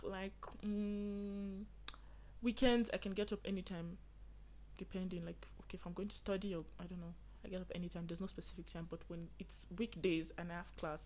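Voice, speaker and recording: A young woman with a Nigerian accent, chatting earnestly to viewers in a casual vlog from her bedroom. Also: mid-range voice. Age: young adult. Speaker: vlogger. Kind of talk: casual get-to-know-me vlog. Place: small bedroom indoors.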